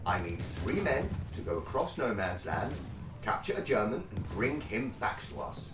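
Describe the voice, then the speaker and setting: A man playing a brisk, bluff British army captain in a war radio play, with a clipped, jovially authoritative manner. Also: lowish voice, very animated, music in the background. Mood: brisk authority. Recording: roomy, distant mic with background sound bed.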